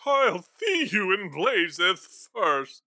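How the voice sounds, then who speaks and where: A bitter old miser, voiced by a man reading a storybook, all scorn and clipped sarcasm. His pitch leaps all over the place, and the sound is dry and close with no room around it. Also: big pitch swings, drawn-out words, bright, forceful tone, no room sound, exaggerated voice. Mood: scornful.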